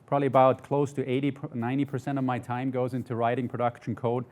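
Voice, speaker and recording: A man's voice: mid-range voice, monotone, quick pace, darkish tone. A middle-aged man from Austria giving an informal technical presentation in a conversational way, often tagging statements with "right?". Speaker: conference presenter, software developer. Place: indoor studio stage with desk and laptop.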